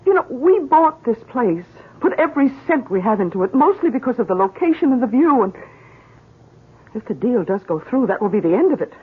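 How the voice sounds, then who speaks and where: An actress playing a worried, uneasy wife in a 1970s radio drama, with an expressive, hesitant delivery. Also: female voice, a little high, fairly quick, husky, heavily acted. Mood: dismayed. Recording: muffled old radio broadcast.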